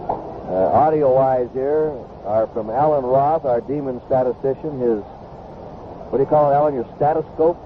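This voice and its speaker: A man calling live baseball play-by-play as an announcer on an old radio broadcast. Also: medium pitch. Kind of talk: live sports play-by-play commentary. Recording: noisy tinny vintage 1970s radio broadcast.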